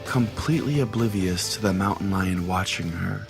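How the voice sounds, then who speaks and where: A man's voice: sits low, normal speed, gravelly, over music. A man playing an irritable, foul-mouthed pastor who hosts a comedic call-in radio show, sarcastic and theatrical in his delivery. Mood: mock-cheery. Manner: exasperated, sarcastic, theatrical storytelling.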